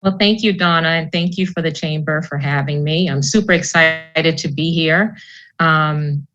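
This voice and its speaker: A woman's voice: low-pitched, normal speed, fairly bright tone, lo-fi sound. A woman from Boston, an entrepreneur on a panel, telling her story earnestly and reflectively over an online call. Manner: earnest, reflective, some restarts. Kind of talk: panel discussion, personal story.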